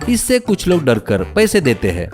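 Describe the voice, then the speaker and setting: Male narrator, comic story style, fairly high pitch, very lively intonation. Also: normal speed, soft background music.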